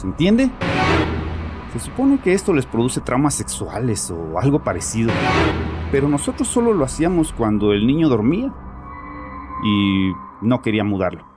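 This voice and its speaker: A tormented father with a low voice, over clear background music. He confesses in a horror audio drama, guilt-ridden and defensive. His delivery is haunted and halting, edged with agitation. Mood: bitter, defensive. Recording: music behind the voice.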